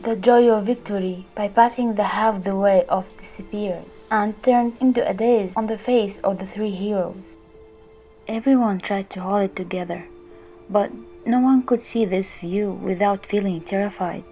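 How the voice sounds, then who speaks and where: A woman narrating a fantasy story in an earnest, soft-spoken way, as the channel's creator. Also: medium pitch, moderate pace, faint music behind.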